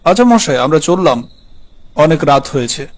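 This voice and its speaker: A man's voice: fairly high pitch, sing-song, normal speed. A man narrating a scripted horror story in the first person.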